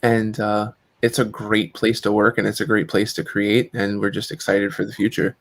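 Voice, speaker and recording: A man's voice: fairly low pitch, level tone, moderate pace, vocal fry, no room sound. A man in his forties, a comic writer, talking in a rambling, enthusiastic way as a guest on a panel. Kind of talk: casual panel chat.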